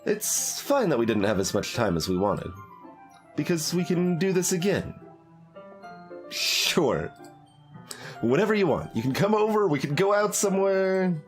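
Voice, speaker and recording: A man's voice: a little high, sing-song, a little slow, long pauses. A man streaming a game, reading the narration aloud expressively and adding casual off-the-cuff commentary. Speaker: streamer doing a blind voiced playthrough. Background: music.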